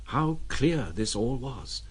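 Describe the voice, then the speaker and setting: A man narrating a literary audio drama in a measured, solemn, dramatic style. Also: medium pitch, very animated, breathy edge, low-quality recording.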